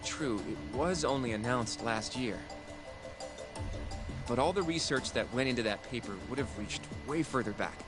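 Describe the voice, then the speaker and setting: A man playing a thoughtful investigator who reasons things out in a measured, explanatory way, as game dialogue. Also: mid-range voice, over music, close to an everyday voice. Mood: considered. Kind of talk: video game dialogue acting.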